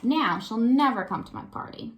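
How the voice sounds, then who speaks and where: A woman's voice: on the high side, animated, normal speed, close to an everyday voice. Woman voicing earnest young storybook boy, anxious and downcast. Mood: sad. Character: anxious, downcast little boy in a picture book.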